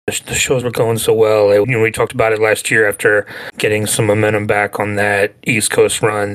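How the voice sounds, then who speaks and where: A man's voice: on the low side, low-quality recording. A man co-hosting a podcast, giving casual, conversational commentary on music. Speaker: podcast co-host.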